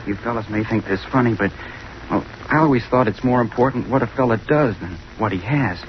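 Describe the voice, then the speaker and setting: This is a dull vintage radio recording with faint noise. A man plays an awkward young chemistry student with a medium-pitched voice, earnest and ambitious. He speaks hesitantly and very politely, quick to apologize. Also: close to an everyday voice. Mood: earnest, dreamy. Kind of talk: old-time radio drama acting. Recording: muffled, noisy old radio recording.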